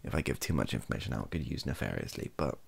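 A young British man, softly spoken, rambling hesitantly through an opinion monologue in a gravelly, husky voice and often trailing off. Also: extremely low pitch, lively intonation, fast talker. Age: young adult. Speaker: ASMR YouTuber. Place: small home room, indoors.

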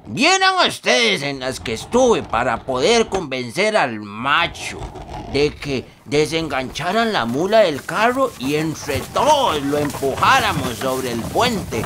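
A man's voice: a little high, very animated, slow pace, fairly bright tone, slight background noise, full character voice. An actor playing an older Costa Rican rural foreman who tells his story in a folksy, colloquial way for a radio drama. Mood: reminiscing.

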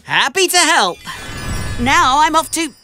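A woman's voice: sits high, very animated, measured pace, sharp tone, soft background music, heavily acted. A woman voicing a cheerful, eager young male tank engine, playful and helpful, in a children's cartoon. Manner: bubbly, exclamatory. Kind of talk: children's animated series dialogue.

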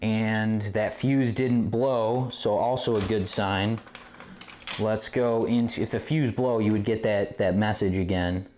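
Guy with a deepish voice walking thru a repair casually, camera mic in a room, some noise behind him. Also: steady tone, measured pace, a little mellow. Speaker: host of pinball repair videos. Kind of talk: casual hands-on repair tutorial. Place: indoor game room among arcade machines. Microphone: camera on tripod, a short distance away. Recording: camera mic with clear background noise, clicks.

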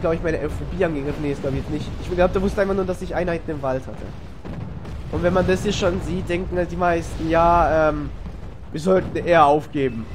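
A man with a cold, casually narrating a replay of his strategy game match, rambling with many fillers and self-corrections. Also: on the high side. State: has a cold, coughs. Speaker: gamer commenting on a replay. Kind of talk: casual gameplay voice-over commentary. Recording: noisy home recording.

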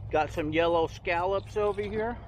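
A man's voice: high voice, background noise. A man giving a casual, rambling tour of his backyard garden, recorded outdoors on a handheld phone. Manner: rambling, unhurried, points things out as he walks.

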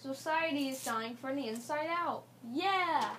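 A woman's voice: high-pitched, measured pace, a bit bright, a little hiss or hum. A woman playing a video game and chatting to herself with joking asides. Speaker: gamer. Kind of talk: casual gameplay commentary. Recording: game music playing in the room. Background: game music.

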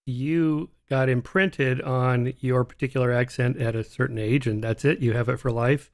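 A man's voice: mid-range voice, steady tone. Man in his sixties hosting an interview. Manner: casual, halting, frequent restarts. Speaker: podcast host. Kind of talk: interview conversation. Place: home studio, indoors. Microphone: large studio mic on a stand.

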